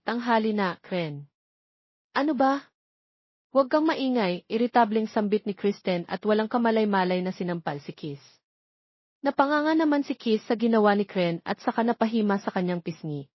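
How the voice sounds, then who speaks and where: A woman's voice: medium pitch, expressive, a little fast, no echo, lo-fi sound. A Filipino woman narrating a scripted romance story.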